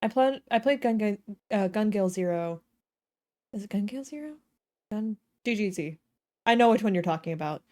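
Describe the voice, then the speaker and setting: A woman's voice: mid-range voice, expressive, a little fast, pauses often, darkish tone. A woman livestreaming a game with casual, slangy, self-deprecating commentary and jokes. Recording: game music playing behind voice.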